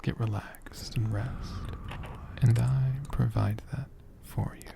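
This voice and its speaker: A performer voicing a gentle, affectionate dragon healer in a soft, breathy ASMR whisper, slow and soothing with tender, lingering pauses. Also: medium pitch, steady tone, noisy background. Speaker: ASMR creator.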